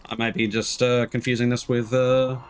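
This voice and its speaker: A man's voice: medium pitch, flat delivery, moderate pace. A man giving casual, laid-back gameplay commentary as a streamer, musing as he plays. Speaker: game streamer. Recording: noisy game audio behind voice. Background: video game sounds.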